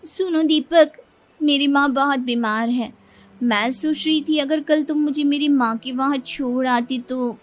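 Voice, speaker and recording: A woman's voice: high voice, measured pace, pitched above the performer's own voice. A woman voicing an affectionate, pouty young newlywed wife in a cartoon moral story, coaxing and plaintive. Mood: coaxing, worried.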